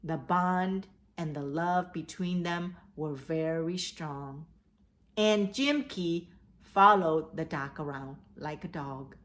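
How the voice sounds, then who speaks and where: Slow-paced woman storyteller for kids. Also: low voice. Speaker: host of a children's read-aloud storytelling show. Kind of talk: storytelling and advice addressed to children.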